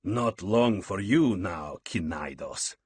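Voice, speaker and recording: Heavy-accented warrior boss, dismissive, threatening, loud, guy doing it. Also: low voice, lively intonation, slow pace, breathy edge, no echo, full character voice. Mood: hostile.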